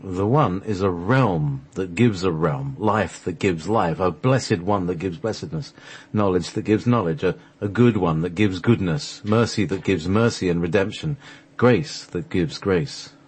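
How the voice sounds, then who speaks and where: A man reading a mystical scripted text aloud as a radio show host, in a solemn, measured recitation. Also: low-pitched, darkish tone, husky.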